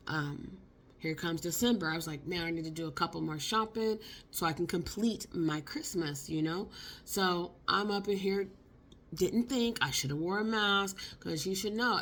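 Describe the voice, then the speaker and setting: A woman, hoarse from a cold, telling a casual, slangy story for her vlog. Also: mid-range voice, a little hiss or hum. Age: 50s. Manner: chatty, expressive, slangy. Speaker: vlogger.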